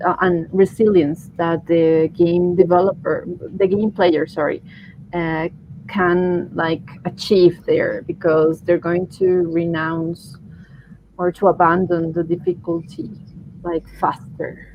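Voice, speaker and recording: A woman's voice: fairly low pitch, level tone, slow. A woman moderating a session, reading out audience questions hesitantly with many fillers. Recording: dull lo-fi sound with faint noise.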